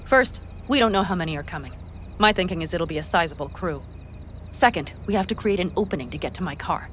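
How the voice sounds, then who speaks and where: A woman's voice: fairly low pitch, lively intonation, fast talker, a bit bright, slight background noise. An actress playing a hot-tempered, loyal young nomad woman, blunt and sweary, in naturalistic video game dialogue. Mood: eager, commanding.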